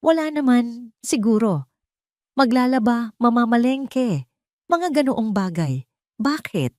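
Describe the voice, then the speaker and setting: A woman's voice: a little high, animated, slow pace, darkish tone, no echo, studio quality, voice pushed up. A woman voicing a reserved, polite woman with a tentative manner in an audio drama. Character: reserved, polite woman, guarded but softening.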